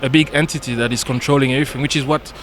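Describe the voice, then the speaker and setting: A man's voice: medium pitch, steady tone, rapid-fire, crowd noise. A man from a French Caribbean island chatting as a guest commentator, with lots of "yeah, yeah" fillers. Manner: many fillers and repeated yeahs. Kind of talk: casual chat.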